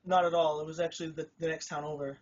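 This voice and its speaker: A man's voice: fairly high pitch, little pitch movement, fast talker. A man being interviewed about his win, modest and hesitant, with frequent fillers. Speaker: tournament winner being interviewed. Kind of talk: casual interview. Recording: lo-fi recording.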